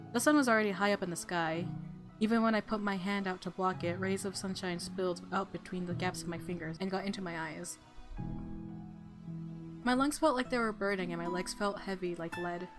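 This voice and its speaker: A woman hosting a let's play, reading visual novel narration aloud with casual commentary. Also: medium pitch. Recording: music clearly audible behind voice. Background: game music.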